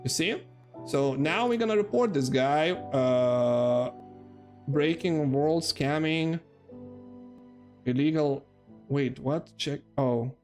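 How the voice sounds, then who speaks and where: Man, Lithuanian accent, slightly high voice, rambling live-stream chatter, self-mocking, faint music behind. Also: slow pace, long pauses, a little mellow. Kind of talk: casual live-stream gaming commentary.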